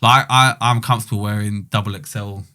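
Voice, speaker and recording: A man talks through his thoughts at an easy pace, trailing off and circling back. His voice sits a little low, and he chats casually as a podcast co-host. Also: a little fast. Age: 20s. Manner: relaxed, rambling, reflective. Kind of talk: casual chat.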